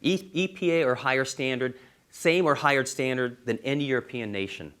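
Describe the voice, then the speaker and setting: Law prof from israel giving a seminar, earnest, punches the big numbers, middle pitch. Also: male voice, brisk, a bit bright, clean tone. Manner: earnest, stresses key figures with emphasis. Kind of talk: university seminar talk.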